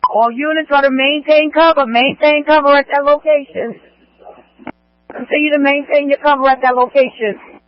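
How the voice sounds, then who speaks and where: A man with a very high voice dispatches emergency units over police radio. He relays and repeats instructions. The sound is dry and muffled, like a lo-fi scanner recording. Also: fairly even intonation, normal speed, dry recording.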